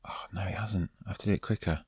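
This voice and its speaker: Deep-voiced gamer, voice going hoarse. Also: male voice, fairly even intonation, fast, darkish tone, dry recording. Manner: chatty, urging the character on. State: losing his voice. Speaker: let's play host. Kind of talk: casual gameplay commentary.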